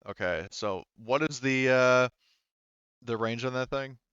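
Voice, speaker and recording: A man's voice: mid-range voice, a little slow, fairly bright tone, clear, resonant tone, no room sound, highs cut off. A man casually narrating a tabletop game as game master, rambling and thinking aloud as he goes.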